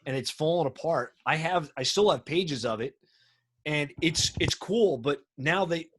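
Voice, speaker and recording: A man's voice: fairly high pitch, lively intonation, unhurried, fairly bright tone, husky. A middle-aged man in casual conversation, talking in an informal, plain-spoken way. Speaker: firefighter on a radio show. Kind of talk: casual chat.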